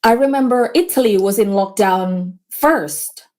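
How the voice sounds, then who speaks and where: A woman's voice: medium pitch, a little slow, a little mellow. A woman business owner talking earnestly as a guest in a casual conversation, with small grammar slips. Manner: earnest, motivational, slightly non-native grammar. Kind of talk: casual interview chat.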